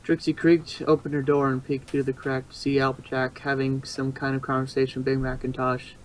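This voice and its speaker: A man's voice: mid-range voice, completely flat delivery, a little fast, poor audio. A man narrating fan fiction as an amateur storyteller, sometimes stumbling and repeating phrases.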